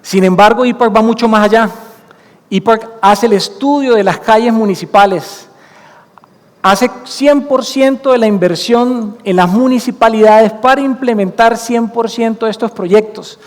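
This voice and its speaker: A man with a Colombian accent giving an earnest conference talk, explaining his points and slowing down to stress the key ones. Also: high voice. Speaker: conference speaker. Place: conference hall stage. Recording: long hall reverb from a conference room.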